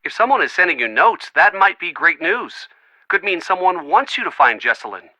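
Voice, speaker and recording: Very bright, earnest young man. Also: sits high, big pitch swings, moderate pace, dry recording, full character voice, poor audio. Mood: encouraging. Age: young adult. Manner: earnest, chatty, reassuring. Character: friendly young man, earnest, bookish, supportive boyfriend type. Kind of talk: video game voice acting, phone conversation.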